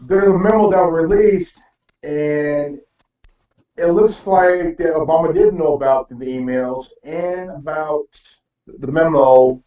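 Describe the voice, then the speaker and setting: A man talking casually in a group discussion, halting and repeating himself. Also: a little high. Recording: very echoey, distant, dull mic.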